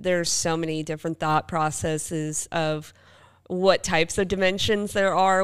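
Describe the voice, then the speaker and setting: A woman's voice: fairly low pitch, clean tone. A woman co-hosting a podcast, casually chatting and explaining things to listeners in an informal way. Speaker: podcast co-host. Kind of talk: casual chat answering listener questions.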